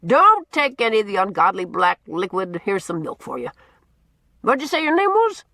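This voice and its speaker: A woman voicing a blustering, gruff old man who booms out orders and repeats himself as he teases. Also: huge pitch swings, moderate pace, bright, forceful tone, dry recording, clean studio sound. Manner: booming, repeats himself, teasing.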